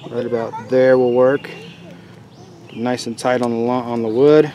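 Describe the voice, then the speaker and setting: A man hosting an outdoors channel, casually talking through a how-to demonstration outside in a leafy forest, relaxed and chatty. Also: medium pitch, a little slow, lo-fi sound. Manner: relaxed, chatty, laughs easily. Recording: faint outdoor background noise.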